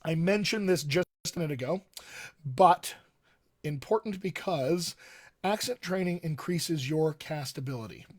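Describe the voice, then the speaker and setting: Higher-voiced American man coaching emphatically. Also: a little slow. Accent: general American. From Colorado, United States. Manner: emphatic, repeats key points for stress. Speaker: dialect coach, session host. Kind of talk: informal live-stream teaching talk.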